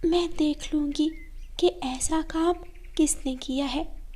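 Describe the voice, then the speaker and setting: A woman voicing a kind, serene forest fairy in a children's cartoon, softly and calmly reassuring. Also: high-pitched, takes it slowly, pitched above the performer's own voice. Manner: soft, calm, reassuring.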